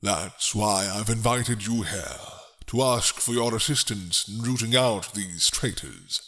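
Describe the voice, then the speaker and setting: A man voicing a grave, suspicious king in a dramatic roleplay, stately, formal and deliberate. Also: on the low side, a bit bright. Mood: grave, commanding.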